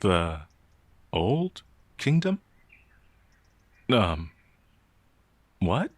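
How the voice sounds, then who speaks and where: Man voicing a slow, halting, gentle old werewolf. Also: low-pitched, big pitch swings, breathy edge, no room sound, full character voice. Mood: confused, anxious. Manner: halting, hesitant, bewildered stammering. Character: gentle, confused, ancient male werewolf, naive and polite. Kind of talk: ASMR roleplay audio drama.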